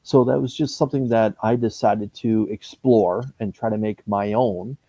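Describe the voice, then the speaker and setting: A Canadian man in his fifties, a comic book YouTuber talking casually in an interview, pausing often with fillers and restarts. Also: on the low side, warm tone, dry recording. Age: 50s. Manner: hesitant, many fillers and restarts.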